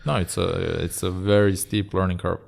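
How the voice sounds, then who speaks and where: A man's voice: low voice, little pitch movement, normal speed, gravelly, a little mellow. A man hosting an interview podcast, asking questions in a teasing, friendly way. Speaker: podcast host. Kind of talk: casual interview talk.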